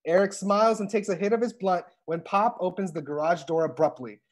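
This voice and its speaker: A man's voice: high-pitched, fairly quick. A man in his thirties reading stage directions and chatting casually on a video call through earbuds. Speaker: host and stage-direction reader. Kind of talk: table read narration and casual chat. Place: indoor room on a video call. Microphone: wired earbuds on a video call.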